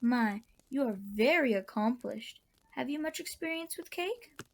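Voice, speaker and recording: Actress as a kindly old auntie, high-voiced. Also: female voice, expressive, a little slow. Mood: warmly amused. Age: older. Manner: gentle, wry, indulgent. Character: kindly, whimsical old auntie of a magical land. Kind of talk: radio drama acting.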